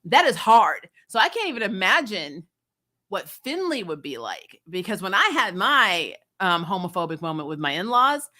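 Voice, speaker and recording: A woman's voice: medium pitch, sing-song, moderate pace, pushed and bright. A woman hosting a casual, opinionated TV review, speaking candidly and emphatically in a conversational way with some mild swearing. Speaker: host of a TV show review channel. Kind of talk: casual, opinionated episode commentary.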